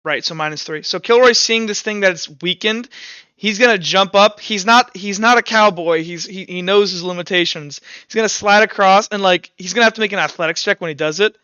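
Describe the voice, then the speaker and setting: A man with a high, crisp voice runs a tabletop game as its game master. He narrates informally and haltingly, with some animation. The recording is dry and slightly dull. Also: bright, forceful tone, no echo.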